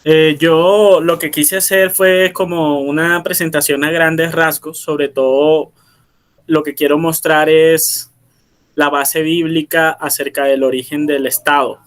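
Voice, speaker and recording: A man from Barranquilla, Colombia, giving an informal lecture and pausing often with filler sounds. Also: a little high, level tone, a bit bright. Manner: hesitant, many filler sounds and restarts. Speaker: philosopher giving a presentation. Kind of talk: informal lecture, explaining and reading texts.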